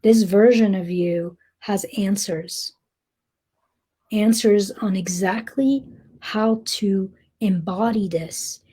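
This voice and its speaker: A woman guiding a meditation in a soft, breathy, gentle voice, speaking deliberately with long pauses. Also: mid-range voice. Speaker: meditation guide. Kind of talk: guided meditation, slow soothing narration.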